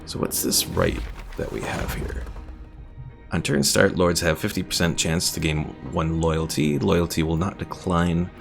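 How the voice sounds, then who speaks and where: A man with a deep voice and some gravel in it streams a strategy game. He chats casually as he plays and reads out comments, with music clearly playing behind him. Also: a little slow. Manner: chatty, reading viewer comments aloud. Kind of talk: casual live gameplay commentary.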